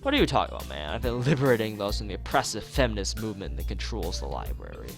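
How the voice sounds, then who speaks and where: A man's voice: on the low side, huge pitch swings, quick pace, gravelly, exaggerated voice. A man voicing a paranoid, ranting male student who hoards books, reading visual novel dialogue aloud over music. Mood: paranoid, defiant. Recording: music playing behind the voice.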